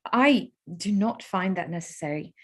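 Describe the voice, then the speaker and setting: Woman acting a frank, self-possessed young gentlewoman. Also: mid-range voice, lively intonation, moderate pace, natural voice. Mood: uncomfortable, polite. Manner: firm, polite, formal. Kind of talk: staged reading of a period drama.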